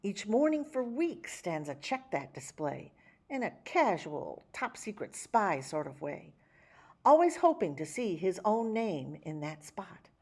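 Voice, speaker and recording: A woman reading a rhyming children's picture book aloud, with theatrical, measured phrasing and dramatic emphasis. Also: mid-range voice, sing-song, a little mellow, husky. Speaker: children's story reader. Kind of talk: expressive picture-book read-aloud in rhyme.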